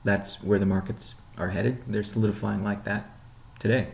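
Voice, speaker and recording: A man leading an informal business update call as a chief executive, explaining things in a measured way with pauses mid-sentence. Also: lowish voice, little pitch movement, creaky, a little mellow. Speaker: company CEO hosting a weekly update call. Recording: roomy, echoing sound.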